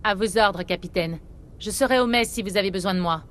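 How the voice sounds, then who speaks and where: Woman playing a brisk young starship soldier. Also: mid-range voice, a bit bright, slight background noise, lightly acted. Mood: dutiful. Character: earnest young female soldier aboard a starship. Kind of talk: dramatic video game dialogue.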